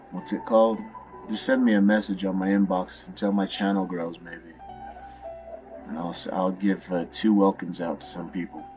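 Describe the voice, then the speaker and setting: Low-voiced, offhand man gaming. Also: darkish tone, lo-fi sound. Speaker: video game streamer. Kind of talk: casual gameplay commentary. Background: game music.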